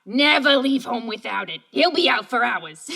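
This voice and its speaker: A woman playing an excitable sidekick in a horror-comedy radio drama, slightly off-mic. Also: fairly high pitch, normal speed, gravelly, very noisy. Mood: uneasy.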